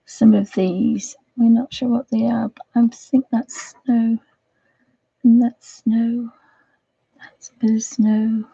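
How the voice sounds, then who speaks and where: Woman host, croaky and husky, mellow tone, soft rambling chat, dull lo-fi stream sound. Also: a little high, level tone, takes it slowly, dry recording. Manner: soft, rambling, often half-whispered. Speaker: livestream host. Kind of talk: casual chat while colouring.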